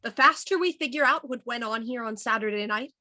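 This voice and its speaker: An actress playing a shrewd, composed police inspector in a radio murder mystery, deliberate and probing. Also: female voice, a little high, bright, forceful tone. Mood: firm.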